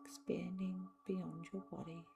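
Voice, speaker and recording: A woman leading a guided meditation in a soft, soothing style, speaking in short, separated phrases with long pauses. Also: lowish voice, little pitch movement, creaky. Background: meditation music with singing bowls.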